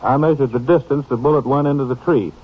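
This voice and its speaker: A man's voice: mid-range voice, breathy edge, natural voice. Actor as methodical lab man on muffled radio. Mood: puzzled. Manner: precise, deliberate explaining. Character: puzzled, methodical male police lab man explaining evidence. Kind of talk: 1950s radio crime drama acting. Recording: muffled, slightly noisy old radio recording.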